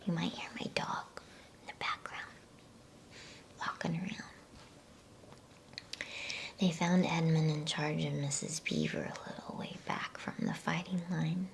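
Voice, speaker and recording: Whispering woman reading slowly, with creaky edges. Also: sits low, fairly even intonation. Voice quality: breathy, unvoiced whisper. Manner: hushed, unhurried, soothing delivery. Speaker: storybook reader. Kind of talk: whispered ASMR bedtime story reading.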